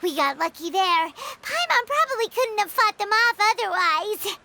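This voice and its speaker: A woman's voice: very high-pitched, expressive, moderate pace, gravelly, pushed and bright, exaggerated voice. A woman voicing a tiny, childlike fairy companion in a video game, cheerful, boastful and exclaiming. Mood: relieved, excited. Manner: refers to self in third person, exclaiming.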